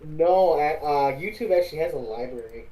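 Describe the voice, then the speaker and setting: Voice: medium pitch, animated, brisk, dark tone, rough recording. A laid-back co-host in a casual chat, loosely profane while picking parts for a story reading.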